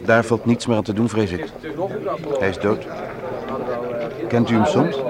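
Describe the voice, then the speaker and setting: Composed male doctor amid loud crowd, acted. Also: lowish voice, steady tone, a little slow, close to an everyday voice. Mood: matter-of-fact. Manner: reassuring, composed. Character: calm, soothing male doctor at a street shooting. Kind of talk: radio drama acting. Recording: loud outdoor crowd behind.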